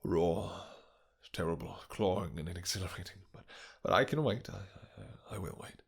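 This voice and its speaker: Man playing a deep, gravelly, lovestruck vampire king. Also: full character voice. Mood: restrained desire. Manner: formal archaic courtly diction, halting breathy pauses. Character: possessive, lovestruck vampire king, courtly and intense. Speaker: voice actor in romantic audio roleplay. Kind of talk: intimate romantic fantasy roleplay acting.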